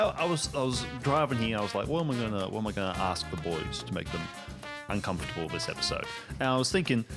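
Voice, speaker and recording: A male host chats casually and jokes around with a playful, hyped-up delivery. His pitch moves around a lot, and guitar music plays clearly behind him. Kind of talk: casual joking podcast chat. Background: plucked guitar music.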